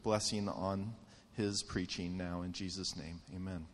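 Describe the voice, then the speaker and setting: A man praying quietly and reverently, introducing a guest preacher. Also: deep voice, fairly even intonation, measured pace, a little hiss or hum. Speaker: pastor leading a prayer. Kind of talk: prayer. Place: church sanctuary. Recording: reverberant church sanctuary from a distance.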